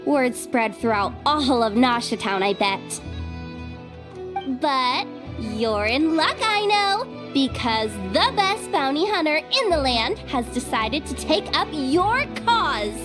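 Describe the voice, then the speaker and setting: A woman's voice: high-pitched, expressive, takes it slowly. An actress voicing a brash, boastful young female bounty hunter with breezy street slang in an anime game dub. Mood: cocky, teasing. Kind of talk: English anime game voice acting. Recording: music behind the voice.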